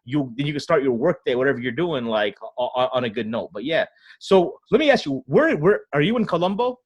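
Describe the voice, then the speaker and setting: A man's voice: medium pitch, big pitch swings, a little fast, breathy edge. A man from Ohio hosting a casual conversation, loose and talkative, often checking in with "right?". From United States, Ohio. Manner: loose, filler-heavy, asks "right?" a lot. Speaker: podcast host.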